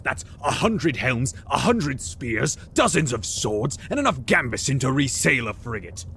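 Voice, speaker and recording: A man acts out an irascible king for a fantasy audio drama. He is gruff and imperious, speaking slowly and emphatically with a gravelly edge, over faint background ambience. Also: medium pitch, big pitch swings, fairly bright tone, a little hiss or hum. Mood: indignant. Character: irascible, blustering, commanding king in council.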